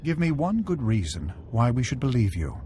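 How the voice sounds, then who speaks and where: An actor playing a bold, challenging Jedi in a video game, firm and declamatory. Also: male voice, mid-range voice, very animated, moderate pace, mellow, faint music behind, natural voice. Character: bold challenging male Jedi team member.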